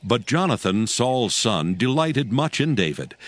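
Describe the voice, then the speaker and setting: A man narrating a dramatized scripture reading in a solemn, measured storytelling manner. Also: medium pitch, big pitch swings, no room sound.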